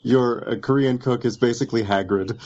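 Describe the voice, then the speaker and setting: A man's voice: medium pitch, steady tone, moderate pace, a little mellow. A man in a book club chatting casually, making wry, hedged comments about a character. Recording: dull sound with faint noise.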